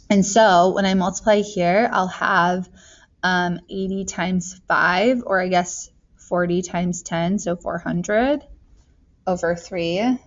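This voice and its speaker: A woman's voice: fairly low pitch, muffled recording. A woman teaching math, talking through worked examples in a casual, chatty way and thinking aloud with joking asides.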